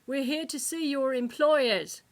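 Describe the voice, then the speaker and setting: A woman's voice: high voice, unhurried, voice pushed up. A woman voicing a brash, threatening male alien kidnapper, impatient and sarcastic, in a comic sci-fi audiobook. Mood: shouting. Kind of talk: humorous sci-fi audiobook character.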